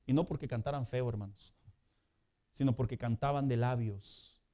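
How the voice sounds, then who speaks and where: A man preaching a sermon as pastor in a conversational way, building to loud emphatic peaks. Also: mid-range voice, moderate pace.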